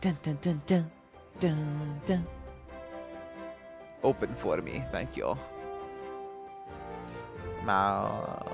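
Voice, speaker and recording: A woman's voice: very deep voice, very animated, extremely slow, gravelly, long pauses, music in the background. A woman giving casual, off-the-cuff reactions as she plays through a video game. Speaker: game streamer reacting to a story quest. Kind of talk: casual playthrough commentary.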